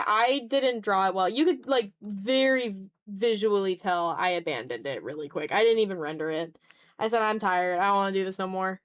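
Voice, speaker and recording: A tired woman with a mid-pitched voice hosts a casual drawing stream. She talks in a self-deprecating way, drifting into mutters to herself. The sound is close and dry, with very little room in it. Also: dry recording. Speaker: art livestreamer and host. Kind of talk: casual livestream chat while drawing.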